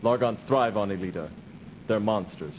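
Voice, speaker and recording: An actor playing a dutiful, cautious officer who gives formal, measured briefings in a video game cut-scene. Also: male voice, fairly low pitch, a little mellow, natural voice. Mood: formal, serious. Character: dutiful, cautious male officer briefing his space commander. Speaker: military adviser in a video game. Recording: dull, noisy old CD game audio.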